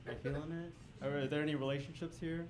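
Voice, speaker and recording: A man's voice: a little high, fast. Young male stand-up in echoey club. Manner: hypes the crowd, then deadpan setups. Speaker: stand-up comedian. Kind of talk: stand-up comedy set. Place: comedy club stage. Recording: echoey club with crowd noise.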